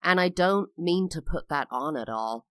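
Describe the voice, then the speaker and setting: Low-voiced English woman, crisp enunciation. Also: animated, moderate pace, a bit bright, no room sound, studio quality. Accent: English with a slight American tinge. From United Kingdom. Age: 30s. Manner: careful enunciation, sing-song delivery. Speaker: podcast host. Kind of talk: personal podcast monologue.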